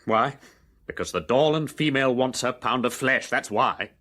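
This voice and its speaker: A man's voice: medium pitch, moderate pace, sharp tone. An actor playing a tense, aggrieved Englishman worried about money in a radio drama, irritable and exasperated, with an English accent. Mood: bitter.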